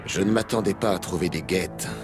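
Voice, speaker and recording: An actor voicing a gruff, suspicious alien soldier in a video game, speaking bluntly. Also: male voice, low voice, fairly quick, over music, close to an everyday voice. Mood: wary, surprised. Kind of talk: dubbed video game cutscene acting.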